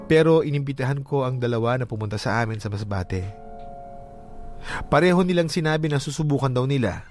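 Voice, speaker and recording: Male storyteller over clear music. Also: medium pitch. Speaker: narrator. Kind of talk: first-person dramatised storytelling.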